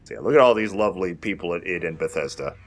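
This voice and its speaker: A man's voice: deep voice, expressive, quick pace. A man giving casual, joking gameplay commentary as host and player, with some swearing. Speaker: let's play host and player. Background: video game sounds.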